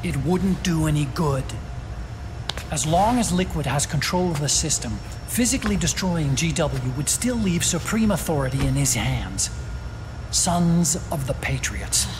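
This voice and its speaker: A man's voice: a little high, expressive, a little slow. An actor playing an earnest male scientist, explaining technical matters precisely in a game cutscene. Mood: grave. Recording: loud background noise.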